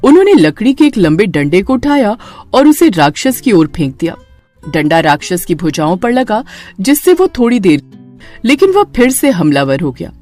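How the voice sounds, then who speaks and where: A woman's voice: medium pitch, sing-song, normal speed, a little mellow, soft background music. A woman narrator reading a scripted horror story in a dramatic storytelling manner.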